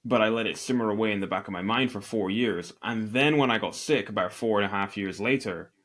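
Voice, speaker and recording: A man from Northeast Scotland, speaking with a Scottish accent in a fairly low register. He answers interview questions as a guest, telling his story candidly with a self-deprecating humour. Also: a little fast, fairly bright tone. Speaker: podcast guest, e-commerce entrepreneur.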